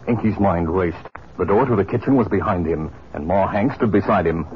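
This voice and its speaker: A man's voice: low-pitched, a little fast. A man with an American accent narrating a scripted 1950s western radio drama. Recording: muffled, noisy old radio recording.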